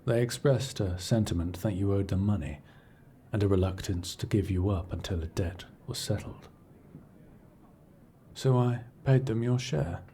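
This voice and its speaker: A man playing a cool, imperious scholar-agent in a fantasy audio roleplay, speaking in a formal, measured, dryly condescending way. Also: lowish voice, dark tone, slight background noise, lightly acted. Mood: probing, calculating. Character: cool, imperious male scholar-agent serving an arcane archivist patron. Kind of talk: scripted audio roleplay acting, addressing the listener.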